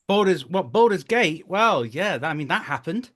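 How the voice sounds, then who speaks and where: A man's voice: high-pitched, normal speed. Chatty, self-deprecating man telling stories. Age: 30s. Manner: animated storytelling, self-deprecating, jokey. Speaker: interview guest, voice and performance director. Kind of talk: casual interview anecdotes.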